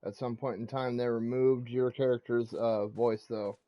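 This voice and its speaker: A man's voice: mid-range voice, fairly even intonation, moderate pace, muffled recording. A man giving casual gameplay commentary as a streamer, talking through what he is doing. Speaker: game streamer.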